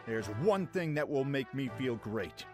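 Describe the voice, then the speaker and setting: A man's voice: medium pitch, animated, unhurried, natural voice. A man playing a brash young pirate lord in a fan radio play, casual and cocky in manner. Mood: bold. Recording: music behind the voice.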